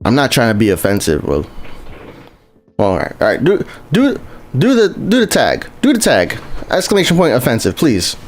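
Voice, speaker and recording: A man streaming a game live, his voice sitting at an ordinary middle pitch. He rambles in a loose, friendly way and keeps restarting sentences as he talks to his chat. Faint music plays underneath. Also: wildly swooping pitch, moderate pace. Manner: rambling and chatty, lots of restarts, greets chat viewers. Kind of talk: casual live-stream commentary while playing.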